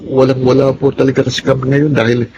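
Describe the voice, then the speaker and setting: A man's voice: medium pitch, level tone, quick pace, gravelly, lo-fi sound, noisy background, lightly acted. A man playing a meek, polite young son from a poor family in a Filipino radio drama, speaking hesitantly and apologetically. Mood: apologetic.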